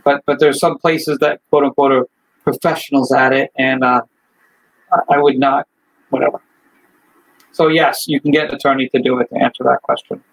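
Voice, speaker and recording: A man's voice: mid-range voice, fairly even intonation, normal speed, long pauses, poor audio. A man from Chile, working as an estate attorney, explaining things casually over a video call, thinking aloud and speaking frankly. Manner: thinks aloud, lots of "like" and "you know", frank.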